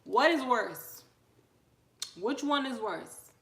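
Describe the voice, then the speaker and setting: A woman's voice: on the high side, animated, takes it slowly, vocal fry, reverberant. A woman hosting a casual livestream, chatting with viewers, reading their comments and welcoming newcomers. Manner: chatty, asks viewers questions, shouts out newcomers. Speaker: livestream host.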